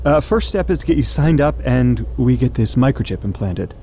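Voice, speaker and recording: Actor playing a halting, pleading space-program official. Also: male voice, mid-range voice, expressive, dark tone, a little hiss or hum, lightly acted. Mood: coaxing. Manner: halting, earnest, persuasive. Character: nervy, coaxing male space-program official, pleading and evasive. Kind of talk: audio drama acting. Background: music.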